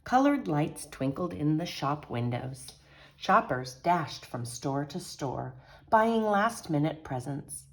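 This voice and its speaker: A woman storyteller with a low-pitched voice. She reads a children's picture book aloud expressively, in a teacherly manner. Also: big pitch swings, slow pace.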